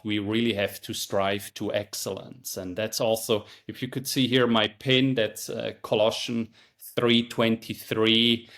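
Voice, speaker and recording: A middle-aged man with a Swiss accent talks through his answers in a lower voice. He is earnest and a little halting, and he often restarts his sentences the way a guest does in a relaxed podcast interview. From Switzerland. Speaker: podcast guest, startup founder. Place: California.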